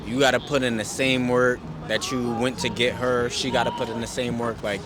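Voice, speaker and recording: A man's voice: mid-range voice, little pitch movement, moderate pace, over a noisy crowd. Laid-back, slangy married man. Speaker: interviewee. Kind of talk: casual street interview answers. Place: outdoors on a city street.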